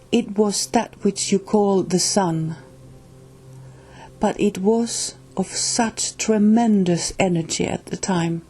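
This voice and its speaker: A woman with a Finnish accent reads a book aloud at an unhurried, deliberate pace, her voice soft and a little breathy. She goes word by word, now and then stumbling and starting a phrase over, and her tone stays mellow and low-key throughout. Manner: careful, halting reading with restarts on hard words. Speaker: reader of a spiritual book.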